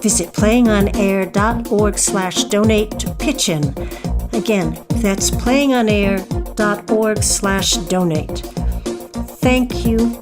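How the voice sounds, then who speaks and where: A woman's voice: medium pitch, takes it slowly. Woman announcer over music. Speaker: announcer and narrator. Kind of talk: scripted podcast introduction and stage directions.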